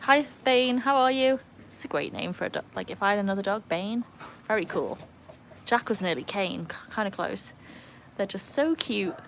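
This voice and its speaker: A woman's voice: medium pitch, a bit bright. Woman, mixed Welsh-English accent, young adult, casual livestream host, chatty, outdoors by a pool, faint background noise. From Wales. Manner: chatty, reads chat comments aloud, laughs easily.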